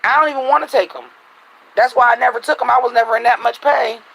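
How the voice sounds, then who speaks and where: A man vlogging at home at night, venting bluntly and profanely in a casual monologue, his voice a little raspy. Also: very high voice, steady tone, fast, fairly bright tone, rough recording.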